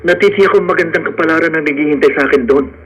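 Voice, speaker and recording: Earnest young lover, acted by a man, higher-pitched. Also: very fast, a bit bright, slight background noise, close to an everyday voice, poor audio. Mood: hopeful, determined. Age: young adult. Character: hopeful, determined poor young man in love. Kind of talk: radio drama acting.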